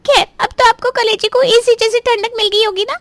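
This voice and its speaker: Lady doing a jealous young sister in law for a cartoon, really high voice, scheming, dry sound. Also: fairly even intonation, brisk, fairly bright tone, no echo. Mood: accusing, resentful. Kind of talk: Hindi cartoon voice acting.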